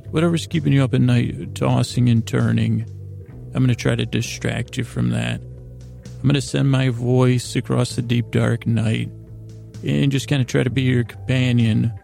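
A man hosting a bedtime podcast in a gravelly voice, rambling in a halting, self-deprecating way that trails off mid-sentence to lull listeners to sleep. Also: medium pitch, mellow. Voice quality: gravelly, creaky. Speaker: podcast host.

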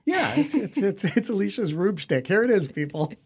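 A middle-aged man, hosting a casual interview in a halting, wry, informal way. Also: high voice, big pitch swings, husky. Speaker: podcast host, interviewer.

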